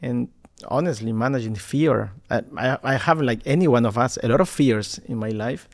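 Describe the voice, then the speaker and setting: Man from Ecuador, mid-pitched voice, animated storyteller, repeats words when starting. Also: normal speed. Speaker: podcast guest, marketing executive. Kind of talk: conversational storytelling.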